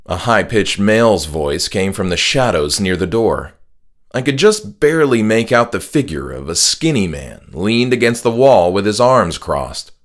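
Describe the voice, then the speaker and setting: A man reading a scripted first-person horror story as an audiobook narrator, in a measured, dramatic way. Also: lowish voice, big pitch swings. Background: music.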